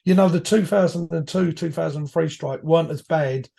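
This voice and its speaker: A man, hoarse from a cold, a guest reminiscing about his years as a firefighter in rambling, self-correcting talk. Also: on the high side, normal speed, warm tone. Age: 50s. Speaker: podcast guest, retired firefighter. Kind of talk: reflective conversational storytelling.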